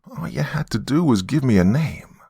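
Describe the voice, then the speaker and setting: A man's voice: medium pitch, expressive, moderate pace, vocal fry, mellow, husky, dry recording, studio quality, voice pushed up, full character voice. A man playing a desperate, hot-tempered father who pleads and bullies, in a dramatic audio story.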